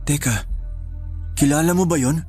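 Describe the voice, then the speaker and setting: A man's voice: fairly high pitch, normal speed, darkish tone, faint music behind, natural voice. An actor playing a puzzled, watchful young man in a Filipino radio drama, talking in a matter-of-fact, conversational way.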